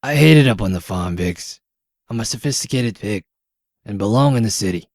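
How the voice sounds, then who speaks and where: A man's voice: on the low side, animated, fairly quick, darkish tone, no room sound, studio quality. A man playing a sly, self-important talking pig in a comic dub, whiny and wheedling, with indignant, wordy complaints. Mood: smug pleading. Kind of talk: comic dub character acting.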